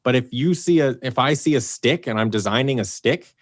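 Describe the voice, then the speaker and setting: A man's voice: medium pitch, brisk, no room sound, studio quality. A man talking casually in an interview as an audio drama director, explaining with acted-out examples.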